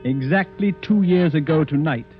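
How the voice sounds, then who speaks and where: A man's voice: a little high, normal speed, natural voice. A man playing a boastful egotist detective. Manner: boastful, commanding. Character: egotistic male detective, proud and cold. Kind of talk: 1940s radio acting. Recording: tinny phone-like old radio recording with music.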